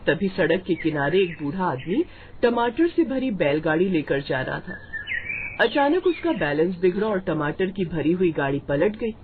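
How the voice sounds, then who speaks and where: A woman's voice: fairly low pitch, sing-song, moderate pace, phone-quality sound, slight background noise. A woman reading scripted narration for a moral story as its narrator.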